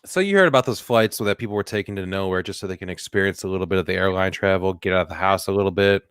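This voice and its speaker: A man with a low voice co-hosts a comedy podcast in a loose, joking way, riffing and putting on silly voices. The sound is dry and close, with no room in it. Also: very fast, a bit bright, smooth, no echo. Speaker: podcast co-host. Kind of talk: casual comedic chat.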